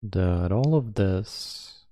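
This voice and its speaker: A man leading a guided meditation as its teacher, speaking calmly in spare, measured phrases with long pauses. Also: low voice, no echo, studio quality. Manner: calm, measured.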